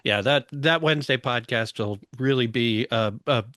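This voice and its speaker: A man's voice: mid-range voice, moderate pace, fairly bright tone, no echo, studio quality. A man hosting a podcast in a casual, conversational presenting style. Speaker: podcast host.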